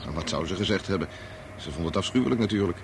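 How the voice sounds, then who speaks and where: An actor playing a bitterly disappointed grown son in a Dutch radio drama, prickly, argumentative and reproachful. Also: male voice, sits low, expressive, fast talker, noisy background. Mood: defensive. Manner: argumentative, exasperated.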